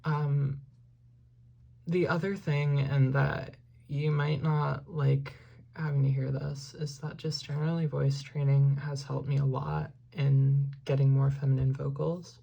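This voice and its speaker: A woman with a low, flat voice and a gravelly, husky edge. She walks through her vocal setup in a casual tutorial, rambling with fillers and apologizing when she loses the thread. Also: measured pace, highs cut off. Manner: rambling, self-deprecating, lots of "like" and "um". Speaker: musician explaining her vocal production.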